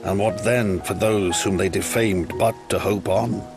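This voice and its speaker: A solemn male chronicler narrating a fantasy game saga over music. His lowish voice is grave and deliberate, with a portentous, old-fashioned storytelling style. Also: steady tone. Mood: solemn, wistful. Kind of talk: scripted dramatic game narration.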